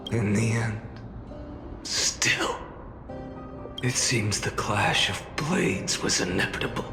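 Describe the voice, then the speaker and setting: An actor playing a weary, burdened young warrior in a game, solemn, measured and grimly resolute. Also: male voice, fairly low pitch, gravelly. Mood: weary resolve. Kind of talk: dramatic game voice acting. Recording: echoing voice over loud music.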